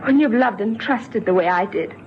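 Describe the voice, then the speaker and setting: An actress playing a despairing young mother in an old radio drama, bitter yet gentle, speaking in an earnest, halting way. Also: mid-range voice, close to an everyday voice. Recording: dull, faintly noisy old radio recording.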